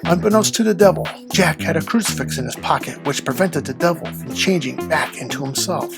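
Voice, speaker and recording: A storyteller narrates a scripted folktale in a creaky voice of medium pitch. Faint music runs underneath the reading. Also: brisk. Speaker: podcast host and storyteller.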